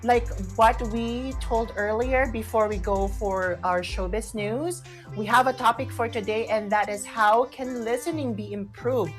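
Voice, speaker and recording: A woman's voice: a little high, a little slow, faint music behind. A woman with a Filipino accent co-hosting a chatty radio talk show, repeating phrases and slipping Tagalog into her English. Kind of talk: chatty talk show discussion with some read-out tips.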